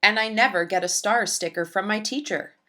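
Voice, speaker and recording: A woman voicing an earnest, shy young schoolgirl who narrates her own storybook tale with deliberate, childlike phrasing. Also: medium pitch, pushed and bright, lightly acted. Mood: glum.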